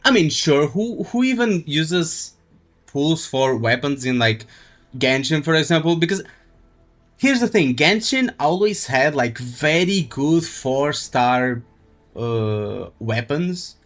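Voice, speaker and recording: A man live streaming a game, chatting casually in a halting, rambling way as he thinks aloud. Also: fairly high pitch, big pitch swings, a bit bright, faint music behind. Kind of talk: casual gaming stream chat.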